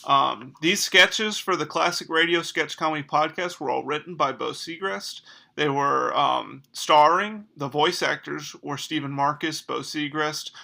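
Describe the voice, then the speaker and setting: Bright-voiced male comedy host with creaky edge. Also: a little high, a little slow. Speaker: podcast host. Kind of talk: comedic podcast outro with credits.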